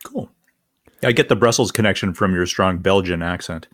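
Man, very deep voice, co-host, joking, hesitant, dry sound. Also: moderate pace, fairly bright tone, dry recording.

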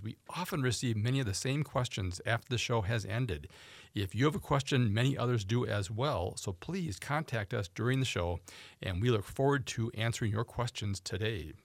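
A man hosting a radio talk show, reading introductions and phone numbers in a measured, announcer-like way. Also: mid-range voice, no echo. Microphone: studio microphone.

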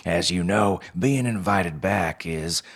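A condescending male advisor in an audiobook, put on by a man reading. He talks like an anchorman, coaxing and persuasive. The voice is low with a breathy husk. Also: slow. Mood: smug, discouraging.

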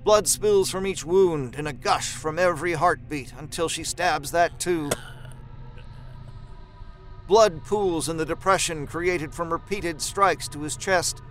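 A man reads scripted horror fiction as the narrator. His voice sits a little higher than most men's, and music plays clearly behind it. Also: a little slow, a bit bright, poor audio.

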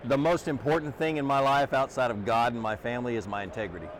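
A middle-aged man with a loud voice, talking earnestly as a podcast guest and repeating words as he thinks. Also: mid-range voice, steady tone, fast talker, slight background noise, low-quality recording. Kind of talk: casual interview conversation. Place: trade show booth, outdoors.

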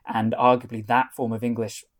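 A man's voice: mid-range voice, flat delivery, moderate pace. A man from North Wales, a Welsh speaker, answering interview questions as an author, earnest, with many restarts and filler words. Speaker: author and guest. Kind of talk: podcast interview.

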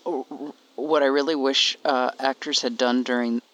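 Casting: male co-host, unhurried and halting, middle register, informal conversational delivery. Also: medium pitch, fairly even intonation, a bit bright. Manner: halting, thinking aloud. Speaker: podcast co-host. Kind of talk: casual chat.

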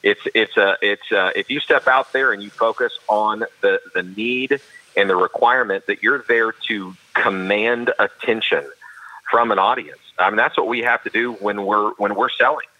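A man with a carrying baritone voice, a professional auctioneer giving earnest, detailed interview answers with frequent restarts. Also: moderate pace, lo-fi sound. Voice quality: baritone voice that carries. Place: studio.

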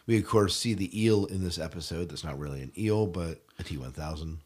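A man's voice: low voice, fairly quick, a little mellow. A man co-hosting a podcast in a casual, conversational fan discussion. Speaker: podcast co-host.

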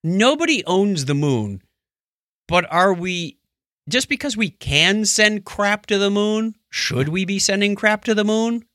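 A man's voice: high voice, lively intonation, a little slow, sharp tone, no echo, studio quality. A man chatting casually about science news as a podcast co-host, with wry, joking asides.

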